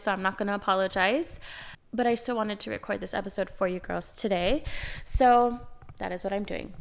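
A young woman hosting her own podcast, talking casually and directly, sounding nasal and raspy from a cold. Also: medium pitch. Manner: chatty, direct, occasionally swears. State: has a cold. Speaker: podcast host and life coach. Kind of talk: casual solo podcast monologue.

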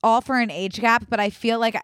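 A woman hosting a podcast, reading listener questions aloud in casual chat, animated and quick to laugh. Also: fairly high pitch, clean tone, no room sound, studio quality. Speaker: podcast host.

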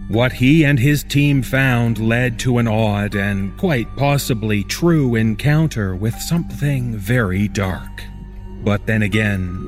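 A man delivering scripted horror narration for a storytelling podcast. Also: medium pitch. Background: music.

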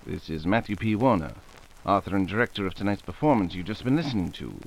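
A man with a fairly low voice addresses the listeners formally. He reads a scripted announcement in the style of old-time radio, as the director of the production. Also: animated, fast.

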